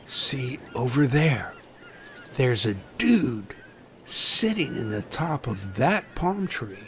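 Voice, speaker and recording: A man playing an excitable, easily baffled castaway in a comedy audio drama, exclaiming emphatically. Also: mid-range voice, slow pace, soft background music. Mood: pointing out.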